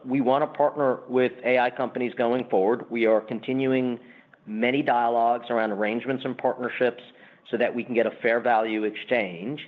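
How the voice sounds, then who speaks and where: A man speaking as a company executive, explaining the business emphatically and semi-formally in answers on an earnings call. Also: mid-range voice. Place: conference call. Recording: muffled, band-limited conference call line.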